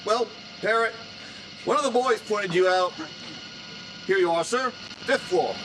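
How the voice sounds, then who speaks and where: High-voiced chatty elevator boy played by a man. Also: measured pace, poor audio. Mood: chatty, deferential. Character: eager, chatty new elevator operator, uneducated. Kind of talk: live 1940s-style radio drama acting. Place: live performance in a library before an audience. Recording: live stage recording under loud noise.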